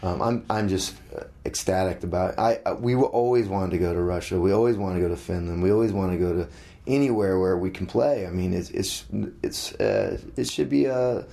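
A middle-aged musician being interviewed, talking casually with many halting restarts. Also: male voice, low voice, expressive, creaky, dark tone.

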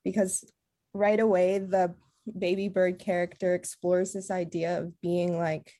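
Earnest, reflective woman with a lowish voice. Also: level tone, measured pace, warm tone. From Canada. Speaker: theatre artist, interview guest. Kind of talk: casual interview talk.

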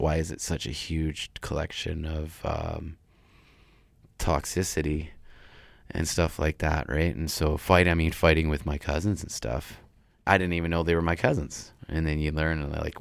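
A man with a deep voice, a rapper talking loosely in an interview with many restarts. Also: fairly even intonation, normal speed, gravelly, no echo, clean studio sound. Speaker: rapper guest. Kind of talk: casual interview talk.

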